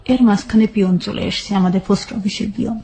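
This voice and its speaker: A Bangladeshi woman telling her life story as an interview guest, recounting it in halting detail and repeating phrases as she goes. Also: medium pitch, steady tone, dark tone.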